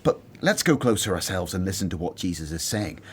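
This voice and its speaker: A man playing an earnest, conscientious disciple in a radio drama, speaking soberly with gentle reproof. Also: low voice, very animated, a little fast, husky, full character voice. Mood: thoughtful.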